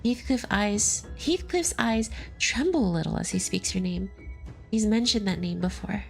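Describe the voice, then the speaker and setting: A woman's voice: mid-range voice, expressive, moderate pace, gravelly, soft background music, low-quality recording. A woman reading a game's story aloud as a streamer, breaking off to joke and laugh between passages. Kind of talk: dramatic reading with commentary.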